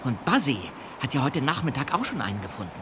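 A man's voice: medium pitch, very animated, fast talker, noisy background, close to an everyday voice. An actor playing a jittery, stammering boy detective in a German audio drama, speaking with urgency. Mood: puzzled. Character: jittery boy detective, startled and alarmed at night.

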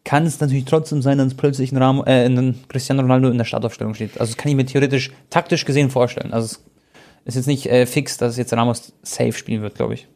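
Fast-talking young man with a mellow voice. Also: medium pitch, fairly even intonation. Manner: colloquial, slangy youth speech. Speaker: football talk co-host. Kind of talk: casual chat about football.

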